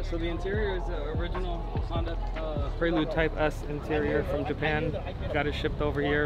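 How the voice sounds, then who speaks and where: A man in his thirties, a car owner giving a relaxed interview at an outdoor racetrack, repeating words and using many fillers. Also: on the high side. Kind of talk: casual interview.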